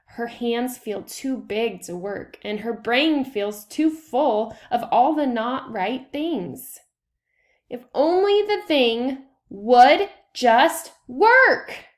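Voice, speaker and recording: A woman's voice: fairly high pitch, expressive, drawn-out words. A woman reading a children's picture book aloud, telling it expressively and stressing key words. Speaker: read-aloud reader.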